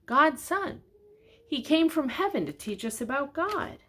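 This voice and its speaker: A woman reader voicing a gentle, serene young mother in a children's storybook, soft-spoken and tender. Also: high voice, big pitch swings, measured pace, voice pushed up, exaggerated voice. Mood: reverent.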